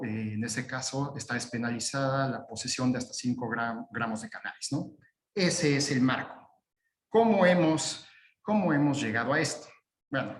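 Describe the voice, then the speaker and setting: A man giving a webinar talk in a roomy-sounding space over a video call. He speaks at a mid pitch, pausing often on "eh" and restarting his phrases as he works through his points. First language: Spanish. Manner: halting, frequent "eh" fillers and restarts. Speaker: guest lawyer. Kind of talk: webinar presentation.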